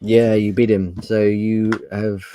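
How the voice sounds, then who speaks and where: Dark, low-voiced man running a game. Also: no room sound. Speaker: game master. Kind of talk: improvised tabletop game narration.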